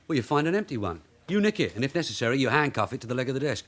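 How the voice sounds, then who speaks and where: An actor playing a gruff, streetwise London detective sergeant in a radio drama, blunt, sardonic and commanding. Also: male voice, mid-range voice, big pitch swings, rapid-fire, noisy background, natural voice. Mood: brusque, wry.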